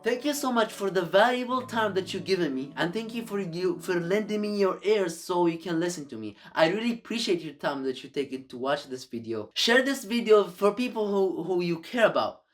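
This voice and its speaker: A man's voice: sits high, animated, a little fast, hardly any pauses, faint music behind. A man whose first language is Arabic, giving earnest motivational advice to camera in a YouTube video, often repeating and restarting his words.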